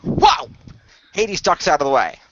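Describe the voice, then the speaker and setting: A man improvising narration as game master of a tabletop adventure, halting and repeating phrases as he goes. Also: a little high, animated, bright, forceful tone, no room sound, highs cut off.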